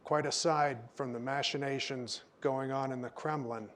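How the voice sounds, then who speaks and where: A man's voice: medium pitch, fairly even intonation, normal speed, a bit bright, slight background noise. A man moderating a public panel, speaking emphatically and often restarting his phrases. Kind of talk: formal panel remarks.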